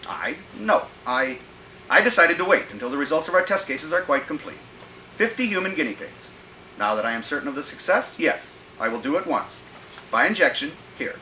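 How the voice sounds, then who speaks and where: An actor playing a megalomaniac, menacing political schemer in a radio drama, declaiming in a grandiose, theatrical way. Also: male voice, mid-range voice, bright, forceful tone, reverberant, a little hiss or hum, low-quality recording. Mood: smug. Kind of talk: old-time radio drama acting.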